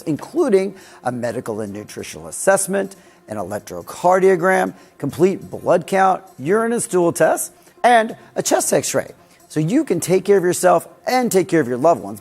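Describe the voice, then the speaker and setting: A man co-hosting a news show in a casual, chatty, rambling way, with a raspy, hoarse voice. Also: on the high side, a little mellow. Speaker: news co-host.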